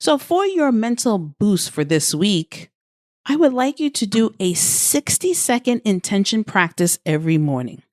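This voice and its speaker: A woman delivering a scripted motivational coaching monologue as a podcast host, phrasing deliberately and emphatically with short pauses. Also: mid-range voice, big pitch swings, dry recording, studio quality.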